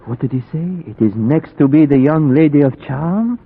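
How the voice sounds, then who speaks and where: A man playing a grave, resolute vampire hunter with formal, deliberate phrasing in a 1940s radio horror drama. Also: a little high, animated, breathy edge. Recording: tinny, narrow old radio recording.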